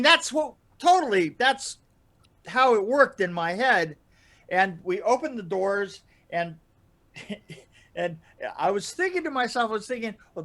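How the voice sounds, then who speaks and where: A middle-aged man telling casual stories in an animated way, often chuckling and restarting his phrases. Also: sits high. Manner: animated, laughs through his stories, halting starts and repeats. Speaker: podcast storyteller and guest regular. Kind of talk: casual anecdotal storytelling. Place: indoor room, video call.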